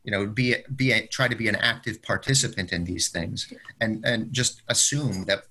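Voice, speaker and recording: A man's voice: fairly low pitch, moderate pace, bright, forceful tone, husky. A man co-hosting a podcast, chatting casually in an encouraging, advisory way and often checking in with a tag question. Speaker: podcast co-host. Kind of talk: casual workplace discussion.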